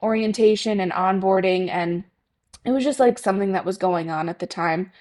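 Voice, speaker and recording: A woman's voice: mid-range voice, moderate pace, darkish tone. A woman from Connecticut who works as a nurse, talking as a podcast guest in a chatty way with many ums and likes. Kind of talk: conversational interview.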